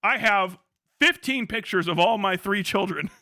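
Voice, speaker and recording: A man's voice: high voice, normal speed, bright, forceful tone, dry recording, studio quality. A man doing a flustered, evasive cop. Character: flustered straight-laced male cop dodging a question.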